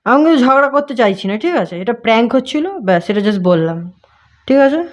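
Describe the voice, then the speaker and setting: A man's voice: very high-pitched, lively intonation, quick pace, muffled recording. A young man, chatting casually on a prank call in a hesitant, teasing way. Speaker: prank caller and video host.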